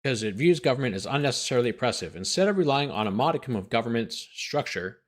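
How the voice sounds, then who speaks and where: A man's voice: medium pitch, expressive, quick pace. A man hosting as a lawyer, reading a document aloud and breaking off for incredulous, sarcastic commentary. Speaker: lawyer host.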